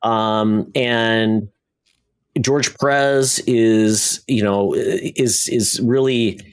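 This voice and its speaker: A man's voice: lowish voice, fairly even intonation, very slow, smooth. A man co-hosting a podcast in a casual, opinionated, conversational way. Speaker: podcast co-host. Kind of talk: casual discussion of comics and media.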